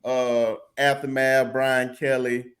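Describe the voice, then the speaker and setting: Excitable man hosting a sports podcast. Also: mid-range voice, slow. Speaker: podcast host. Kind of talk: casual sports talk.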